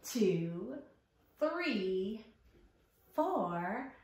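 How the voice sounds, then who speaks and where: Female children's storyteller, highly animated and unhurried, interactive and exaggerated in expression, recorded in a strongly reverberant room. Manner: animated, sing-song, exaggerated expression, asks and praises. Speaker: children's library storytime host. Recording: very echoey room.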